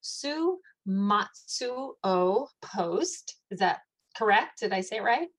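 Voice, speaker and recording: A woman's voice: mid-range voice, animated, takes it slowly. A woman with a mid-range voice hosts an interview. She sounds eager and often repeats herself as she sets up her guest's story. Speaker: host. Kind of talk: interview hosting.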